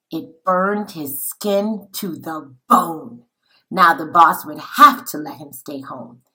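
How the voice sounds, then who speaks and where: Woman, storybook read-aloud, bright forceful voice, slow deliberate delivery, dramatic, teacherly. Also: low-pitched, rough recording. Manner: dramatic, deliberate, with acted-out dialogue. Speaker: teacher reading a picture book aloud.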